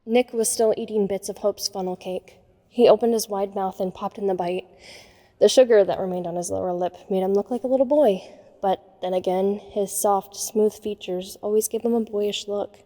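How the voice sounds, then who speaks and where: A woman's voice: medium pitch, steady tone, moderate pace, warm tone, natural voice. A woman playing a self-conscious, wryly observant nerdy teenage girl who narrates a first-person audio drama. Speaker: narrator and lead character. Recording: long reverb on the voice.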